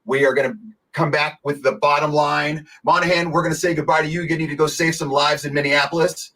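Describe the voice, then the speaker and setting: A man hosting a show, wrapping up a guest segment in an upbeat, brisk way. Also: a little high, fairly even intonation, a bit bright. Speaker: show host. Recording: distant mic in played-back clip.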